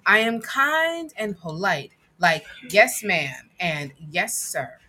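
A woman reading a picture book aloud with emphatic, dramatic phrasing and deliberate pauses. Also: mid-range voice, very animated, bright, forceful tone. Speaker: guest reader and producer.

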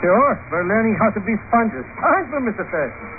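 An actor playing a cheeky, excitable, loyal foreign sidekick with colorful phrasing in 1950s radio drama style. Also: male voice, sits high, a little fast. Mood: chipper. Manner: colorful, odd phrasing, animated. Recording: tinny, noisy 1950 radio recording.

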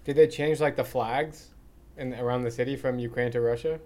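A man's voice: mid-range voice, fairly even intonation, a little mellow. A man hosting a podcast who asks questions in a curious, easygoing way and reacts openly to the answers. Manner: curious, casual, quick to react. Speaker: podcast host. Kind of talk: casual interview conversation.

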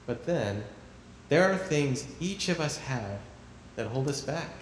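A man acting as a gentle male camp preacher addresses a congregation in an audio drama. His sermon is measured and folksy, ringing with long reverb in an echoey hall over faint noise. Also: medium pitch, expressive. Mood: earnest preaching.

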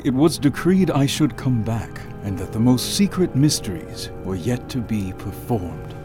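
A man playing a frightened, high-strung writer in a melodramatic radio drama. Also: very animated, a little slow, over music. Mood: solemn. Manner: overwrought, melodramatic. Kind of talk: theatrical radio drama acting.

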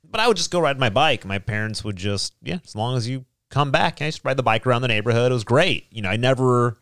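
A man's voice: medium pitch, expressive, fast talker, a bit bright, dry recording, studio quality. A man chatting casually and reminiscing in a rambling way, with "like" sprinkled throughout. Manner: rambling, peppered with "like". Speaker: talk show panelist.

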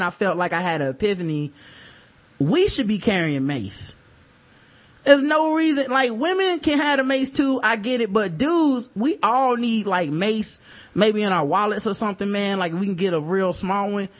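A man's voice: high-pitched, lively intonation, normal speed, fairly bright tone. A man hosting a podcast, telling stories in loose, profane, laughing banter. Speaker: podcast host.

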